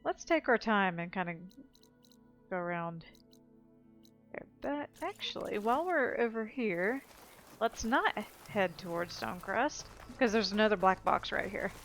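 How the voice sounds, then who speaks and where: A woman's voice: medium pitch, lively intonation, measured pace, fairly bright tone, soft background music. A woman narrating her own gameplay in a casual, upbeat way, thinking aloud as she goes. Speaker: streamer playing and narrating a video game.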